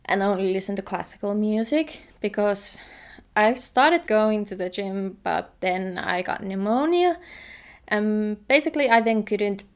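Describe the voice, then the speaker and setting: A woman's voice: mid-range voice, unhurried. A young woman from Finland with a Finnish accent, chatting casually in a vlog and laughing often. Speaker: vlogger.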